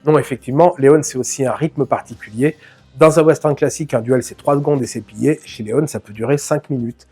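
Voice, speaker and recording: A man hosts a relaxed film-history talk, speaking to the viewer as a friend. His voice has a slightly husky grain at an ordinary pitch. Faint music sits behind him. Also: a little fast. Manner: chatty, addresses viewer informally, self-mocking asides. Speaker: film channel host. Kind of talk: casual film analysis to camera.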